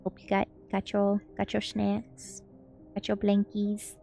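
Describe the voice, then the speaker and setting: A woman's voice: medium pitch, completely flat delivery, takes it slowly, creaky, warm tone. A woman streamer reading a novel aloud in a cozy ASMR storytelling style, with casual welcoming asides and the odd stumble over words. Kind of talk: ASMR storybook narration with casual chat to listeners. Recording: music behind the voice. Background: music.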